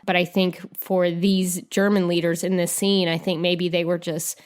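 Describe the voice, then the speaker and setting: Woman chatting about film, lower-pitched voice. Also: little pitch movement, normal speed. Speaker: podcast co-host. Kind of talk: casual film discussion.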